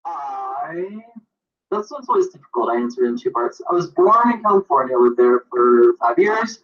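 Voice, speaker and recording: A young man from Maine, a photographer and author, rambling in an animated, self-deprecating way as an interview guest on a video call. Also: mid-range voice, normal speed. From Maine, USA. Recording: muffled lo-fi video call audio.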